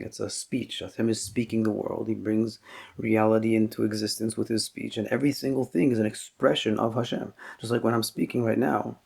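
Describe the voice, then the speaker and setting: A man giving a Torah class as a rabbi, explaining slowly and thoughtfully with frequent pauses and restarts, and mixing Hebrew terms into his talk. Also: fairly low pitch, creaky, darkish tone, breathy edge.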